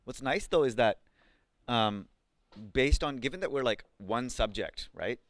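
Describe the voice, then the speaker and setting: A man's voice: mid-range voice, animated, unhurried, dry recording. A man from Pakistan in his thirties teaching a live coding class, chatty, with lots of ums and questions to the students. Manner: conversational, many ums and likes, asks the class questions. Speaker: coding bootcamp instructor.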